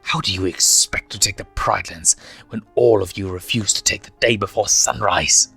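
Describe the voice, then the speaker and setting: A man's voice: on the low side, normal speed, creaky, bright, forceful tone, slightly rough, soft background music, exaggerated voice. A man voicing a ruthless, scheming lioness mother who speaks in commanding, emphatic speeches in a story reading. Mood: fierce, proud.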